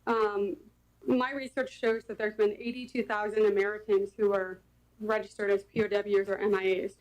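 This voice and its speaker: A woman making a public comment at a council meeting, speaking in a measured, pointed and sarcastic way. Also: mid-range voice, level tone, muffled recording.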